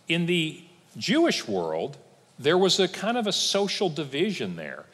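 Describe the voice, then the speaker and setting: Husky-voiced pastor with lively pitch. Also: male voice, big-room sound. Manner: explanatory, with lively pitch swings and dry asides. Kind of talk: teaching sermon. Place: church sanctuary.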